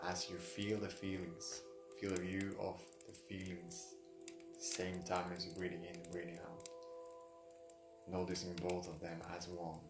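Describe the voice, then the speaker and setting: A man with a deep, level voice leads a guided meditation. He speaks non-native English in halting phrases that circle back and repeat. The room echoes around his voice. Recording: roomy echo, music behind. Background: music.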